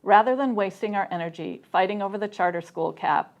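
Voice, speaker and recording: A woman's voice: medium pitch, fairly quick. A woman delivers a prepared, formal statement as a debate speaker.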